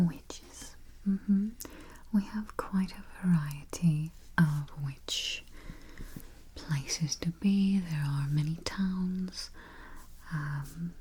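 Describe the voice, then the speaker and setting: A woman doing a soft, breathy, mostly whispered ASMR roleplay as a politely morbid vampire travel agent, murmuring with dry understatement close to the microphone. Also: on the low side. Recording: faint hiss, close keyboard typing and clicks. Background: typing, clicking and gentle tapping.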